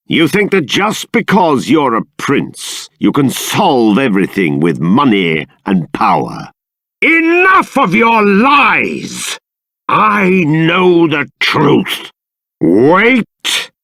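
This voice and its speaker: A man's voice: a little high, wildly swooping pitch, takes it slowly, gravelly, pushed and bright, no echo, exaggerated voice. An actor playing a stern, dignified middle-aged king in a video game, with formal, regal, emphatic diction. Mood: angry, scolding. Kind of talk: dramatic game character dialogue.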